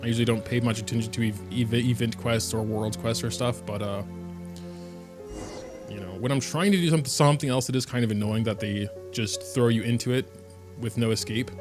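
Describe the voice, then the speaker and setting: A man's voice: medium pitch, steady tone, fairly quick, music in the background. German-accented man streaming a game. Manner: rambling, thinking aloud while playing. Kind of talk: casual live-stream commentary.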